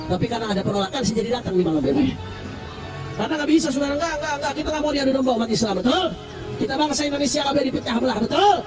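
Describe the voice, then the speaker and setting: A man with a very high, strained voice preaches at a rally and rattles along at a fast clip. He shouts and challenges the crowd to answer back. He sits well off the mic, with music playing clearly behind him. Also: animated, distant mic. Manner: shouting, call-and-response with crowd. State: recovering from flu. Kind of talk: fiery open-air sermon.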